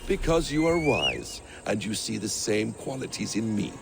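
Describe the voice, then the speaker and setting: An actor playing a shrewd, philosophical assassin in a video game, speaking in a measured, formal, wry way. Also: male voice, on the low side, big pitch swings, gravelly, music in the background. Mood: wry, persuasive. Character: shrewd, silky schemer and assassin, male, philosophical and watchful.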